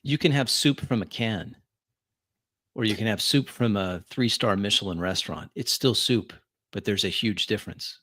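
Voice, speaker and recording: A man playing a refined, snobbish vampire with measured, disdainful speech in an improvised tabletop roleplay. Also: on the low side, a bit bright. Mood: disdainful, lecturing. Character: refined, snobbish male vampire who savors quality.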